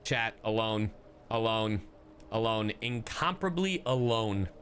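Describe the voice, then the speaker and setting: A man livestreaming a game, reacting casually and excitably in slangy exclamations. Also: fairly low pitch, unhurried, a little hiss or hum. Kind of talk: casual live gameplay reaction.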